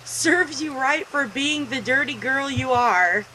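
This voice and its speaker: A woman's voice: high voice, animated, slow pace, sharp tone, a little hiss or hum, heavily acted, low-quality recording. A woman voicing a possessive, scornful mother speaking to her daughter in a video game story.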